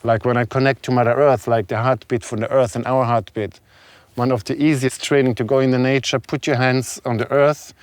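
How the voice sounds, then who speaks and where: A man with a continental European accent, a non-native English speaker, talking reflectively and haltingly as an interview guest. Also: mid-range voice, fairly even intonation, dry recording. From Serbia, moved to Germany as a child. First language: not English. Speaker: guest, shaman and spiritual healer. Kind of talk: conversational interview talk.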